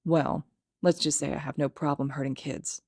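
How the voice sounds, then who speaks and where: A woman's voice: deep voice, fairly even intonation, normal speed, a little mellow, breathy edge, no room sound, clean studio sound, pitched below the performer's own voice. A woman voicing a sardonic, menacing young male villain with a blunt, sneering drawl in a story reading. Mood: threatening.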